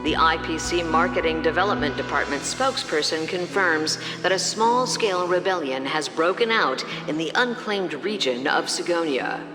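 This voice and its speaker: A woman's voice: sits low, lively intonation, moderate pace, few pauses. A woman playing a formal, impassive news broadcaster reading a scripted bulletin in a video game. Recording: echoing reverb, music behind.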